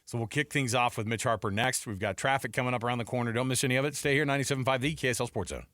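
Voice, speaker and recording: Fast-talking, emphatic male sports radio host. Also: medium pitch, noisy background. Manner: emphatic, informal, repeats himself. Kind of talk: lively, opinionated sports talk. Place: radio studio. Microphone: close broadcast studio mic.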